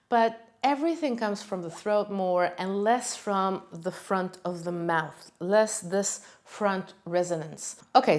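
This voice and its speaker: A Hebrew teacher with an Israeli accent, a native Hebrew speaker, giving an upbeat, emphatic video lesson. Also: female voice. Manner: upbeat, emphatic, talks with lively pitch jumps on key words.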